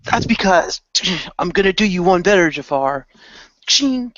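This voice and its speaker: A man's voice: high-pitched, animated, measured pace, a bit bright, no room sound, muffled recording, voice pushed up. A man voicing a cocky, casual, bantering hero in an amateur radio play.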